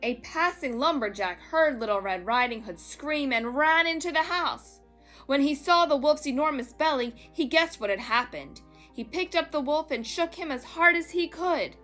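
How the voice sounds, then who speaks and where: A woman narrates a children's story in a fairly high, bright voice. Her reading is expressive and emphatic, with soft music behind it. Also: moderate pace. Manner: dramatic, emphatic.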